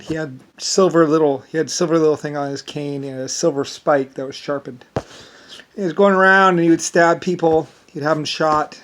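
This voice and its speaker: A man's voice: on the high side, a bit bright. An American man with an Irish-sounding lilt, talking in a rambling, meandering casual monologue. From United States. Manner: rambling, meandering, leans on "basically".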